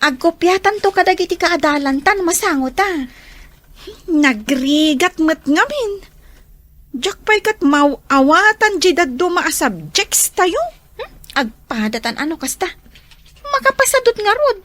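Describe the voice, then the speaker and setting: Lazy young female student, extremely high voice, whining, radio drama. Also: very animated, unhurried, a bit bright, heavily acted. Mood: whining, reluctant.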